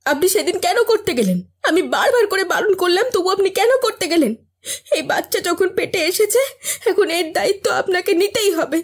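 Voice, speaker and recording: An actress playing a young, wronged village maid, pleading yet defiant, in an audio horror drama. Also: female voice, very high voice, expressive, normal speed. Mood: tearful, pleading. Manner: indignant, tearful pleading.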